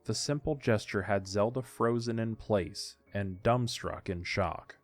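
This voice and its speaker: A man's voice: lowish voice, a little slow, faint music behind. A man narrating a scripted audio drama as its storyteller.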